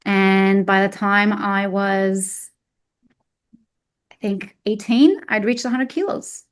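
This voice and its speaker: Woman, arabic first language, open reflective guest talking about her past, mid pitch. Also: slow, smooth. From Egypt. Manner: open and reflective, tells painful memories plainly. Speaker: guest, weight loss coach. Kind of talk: candid personal storytelling.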